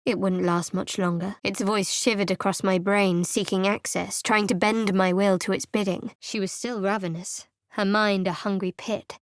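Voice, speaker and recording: A woman's voice: medium pitch, fairly even intonation, normal speed, hardly any pauses, dry recording, lightly acted. An actress playing a guarded, sardonic young woman cleric in a studio game, in a dry, cutting manner, also narrating. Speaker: game character.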